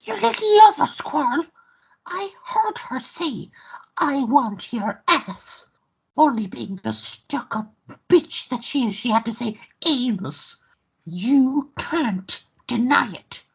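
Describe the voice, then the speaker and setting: A woman playing a jealous, sharp-tongued lover in a radio play, indignant and melodramatic. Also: high voice, very animated, slow, gravelly, husky, exaggerated voice, low-quality recording. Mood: bitter, resentful.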